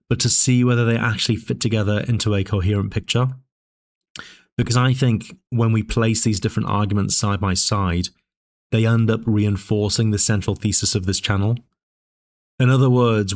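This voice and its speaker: A man with a fairly low voice talks through his arguments in a measured, discursive way. He often pauses on fillers and restarts his phrases. His voice sounds a little dry as he speaks to his channel's viewers. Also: a little mellow. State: dry voice, sipping tea. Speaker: political commentary channel host. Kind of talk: casual spoken commentary.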